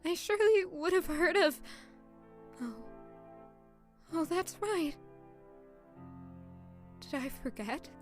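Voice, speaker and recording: An actress playing a grieving, self-blaming young servant woman in an emotive, halting audio drama. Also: extremely high pitch, lively intonation, heavily acted. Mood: bewildered. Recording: music under the voice. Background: music.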